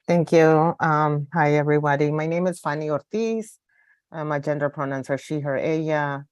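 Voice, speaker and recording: A woman from Los Angeles speaking as an activist guest, delivering a prepared political speech in a measured way, point by point. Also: deep voice, a little mellow, no echo. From Los Angeles, United States.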